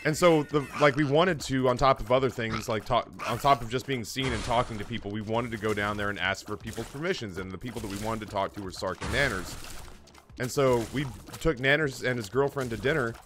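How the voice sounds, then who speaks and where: A man around his thirties tells personal stories on a livestream in a casual, comedic, animated way, with profanity and self-deprecation. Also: mid-range voice, a little fast, slight background noise. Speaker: live streamer telling personal stories. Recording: music behind the voice. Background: background music.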